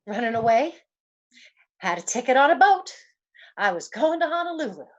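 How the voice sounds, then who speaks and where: A woman's voice: high-pitched, big pitch swings, moderate pace, muffled recording. A woman playing a frightened young gangster's moll on the run, slangy and pleading, in an old-time radio detective play. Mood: scared, confessing.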